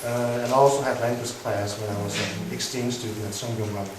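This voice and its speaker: A young Danish man, a native Danish speaker, answering audience questions in English with many pauses and restarts. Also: mid-range voice, steady tone. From Denmark. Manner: hesitant, many fillers and restarts. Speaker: guest speaker. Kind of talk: live Q&A answers. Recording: echoey hall, mic far off, noisy.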